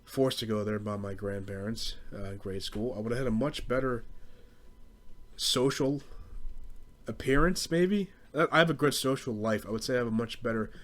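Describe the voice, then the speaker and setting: A man's voice: medium pitch, normal speed. A young American man rambling through a casual, profane comedic rant as a podcast host, in slangy speech. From southeastern Pennsylvania, US.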